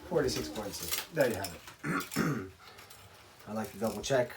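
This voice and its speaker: A man from Spain giving a casual, rambling hands-on tutorial as a racquet stringer, often clearing his throat. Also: fairly low pitch, lively intonation, a little slow. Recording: clattering workshop tool noise.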